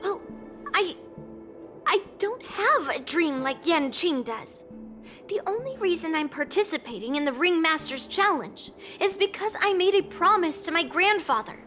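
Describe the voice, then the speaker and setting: A woman's voice: very high-pitched, expressive, unhurried, fairly bright tone, faint music behind, heavily acted. A woman voicing a proud, blunt, self-assured young girl fighter in a video game. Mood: candid, proud.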